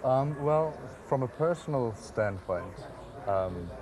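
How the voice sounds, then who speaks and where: A man's voice: mid-range voice, expressive, takes it slowly, crowd noise. A man from South Africa, a website founder answering interview questions with many fillers and restarts.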